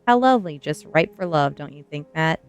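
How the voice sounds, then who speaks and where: Lady doing a smug rich teen boy bully for a storybook, smooth, mocking, music under it. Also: low-pitched, animated, brisk, pitched below the performer's own voice. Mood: smug taunting. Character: cocky rich teenage boy, taunting high-school bully. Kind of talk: audiobook character voice.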